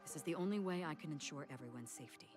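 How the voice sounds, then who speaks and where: A woman playing a calm, solemn, mysterious exiled swordswoman in a game, speaking in a measured, polite, formal way. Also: low-pitched. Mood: calm, earnest. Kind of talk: scripted game voice acting. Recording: music behind the voice.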